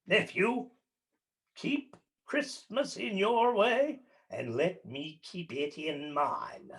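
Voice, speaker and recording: A man's voice: high voice, takes it slowly, vocal fry, fairly bright tone, heavily acted. An actor playing a bitter, miserly old man in a radio play, snarling his lines in a grating, scornful voice.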